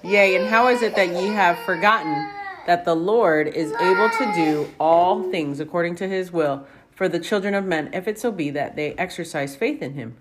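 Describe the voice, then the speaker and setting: A woman reads scripture aloud in a plain style. Her voice sits on the low side, and there is faint noise on the home recording. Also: normal speed. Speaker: family member reading scripture aloud.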